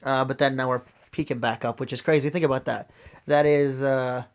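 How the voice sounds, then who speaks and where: Raspy man talking casually through finances. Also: medium pitch, steady tone, fairly quick. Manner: informal, addresses viewers directly. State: recovering from a lost voice, occasional throat clearing. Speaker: host of an investing video series. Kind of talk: casual unscripted portfolio walkthrough.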